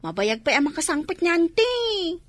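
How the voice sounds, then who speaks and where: An actress with an Ilocano accent playing a casual, impatient youngster talking back to a parent in a comedy drama. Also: female voice, very high-pitched, sing-song, moderate pace, no room sound.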